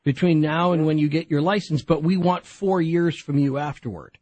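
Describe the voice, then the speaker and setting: Husky talk-radio host man. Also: fairly high pitch, darkish tone. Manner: stammering restarts, brisk and guiding. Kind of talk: casual call-in chat.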